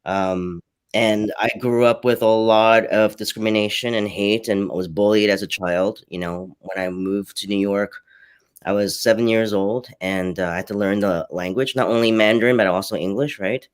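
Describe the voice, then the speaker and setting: A man with a fairly low voice whose first language is Cantonese. He shares a candid personal reflection on a panel and speaks earnestly. He sometimes repeats a word before settling on what he means. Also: fairly even intonation, normal speed, clean tone. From Hong Kong. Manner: earnest, repeats words while gathering thoughts.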